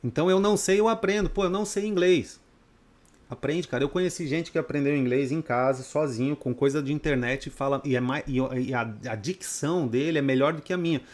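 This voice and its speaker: A man's voice: on the high side, brisk, a little mellow. A man from Brazil, teaching a trading course in a blunt, profane, off-the-cuff lecture full of rhetorical questions. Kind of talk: unscripted lecture to students.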